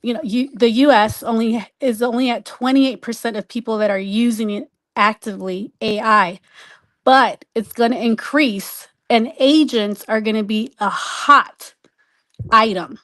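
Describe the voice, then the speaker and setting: A woman with a fairly high voice chats as a show guest. She explains informally and a bit hesitantly, finding her words as she goes. The recording is dry, without room sound. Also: steady tone, measured pace, a bit bright, no echo. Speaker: guest on a live online show. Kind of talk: casual explanatory chat.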